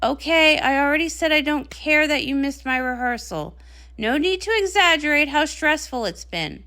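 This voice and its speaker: A woman's voice: sits high, lively intonation, moderate pace, bright, forceful tone, exaggerated voice. A woman voicing a dramatic, jealous young pony princess and pop star in a comic read-along, indignant and sarcastic. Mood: scornful.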